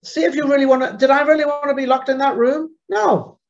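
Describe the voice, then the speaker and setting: A woman's voice: high-pitched, fast talker, a little mellow. Woman guest on a dull lo-fi call. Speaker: interview guest. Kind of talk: conversational personal storytelling. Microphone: remote call.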